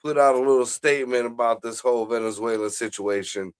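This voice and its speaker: An American man co-hosting a casual show, joking crudely and ranting in animated bursts. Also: medium pitch, a little fast, clean tone. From United States. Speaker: podcast co-host. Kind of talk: casual banter.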